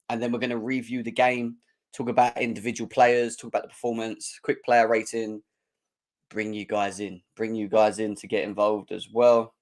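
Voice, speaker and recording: A young man, his voice hoarse and croaky, hosting a live football fan show and chatting energetically while clearing his throat. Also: mid-range voice. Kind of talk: live-stream chat.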